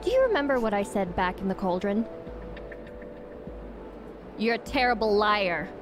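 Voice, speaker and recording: Woman, tabletop player, mid pitch, deliberate, music behind. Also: sing-song. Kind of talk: in-character roleplay and describing actions.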